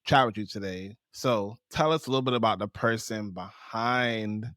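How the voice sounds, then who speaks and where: Upbeat podcast host guy, slightly low voice, dry close mic. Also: moderate pace, a bit bright, no echo. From New York and Barbados. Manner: upbeat, promo-style host patter. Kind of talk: podcast interview hosting.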